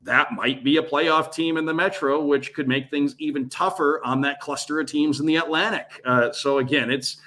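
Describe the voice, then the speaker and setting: A man's voice: fairly high pitch, normal speed, hardly any pauses, sharp tone. A man who works as a radio play-by-play broadcaster, guesting on a casual sports podcast and talking through his analysis in long, flowing runs.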